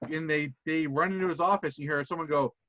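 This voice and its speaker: A man's voice: fairly high pitch, steady tone, fast talker, pushed and bright, dry recording, dull audio. A man running a tabletop roleplaying game as game master, narrating animatedly and laughing often.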